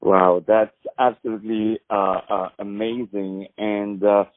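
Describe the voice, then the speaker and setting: A man's voice: fairly low pitch, flat delivery, a little slow, no echo. A man hosting a phone interview, effusive and lavish with praise. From France. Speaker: host. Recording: tinny phone line, dry.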